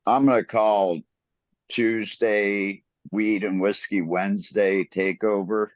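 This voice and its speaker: Male guest, deep and very slow, halting; dull dry remote audio. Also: little pitch movement, dry recording. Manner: slow, halting, lots of uhs. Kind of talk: casual chat.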